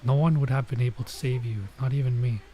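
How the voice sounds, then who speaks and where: A man's voice: medium pitch, fairly even intonation, fast talker, mellow, slight background noise, close to an everyday voice. A man voicing a fiercely protective young woman from an anime game, reprimanding others in exasperation. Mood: stern, worried.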